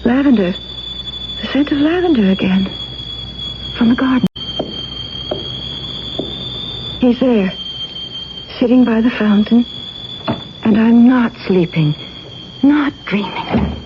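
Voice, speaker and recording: Eager young woman, high-pitched radio heroine. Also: expressive, takes it slowly, long pauses. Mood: drowsy wonder. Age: young adult. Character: eager, romantic young aspiring Broadway actress. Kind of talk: old-time radio drama acting. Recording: dull, noisy old radio recording.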